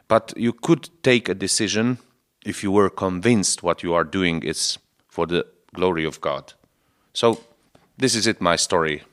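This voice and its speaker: Deep-voiced bishop, halting non-native English. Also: male voice, a bit bright. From Romania, Transylvania. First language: Hungarian. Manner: halting, searching for words, earnest. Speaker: Lutheran bishop. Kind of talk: radio interview answers.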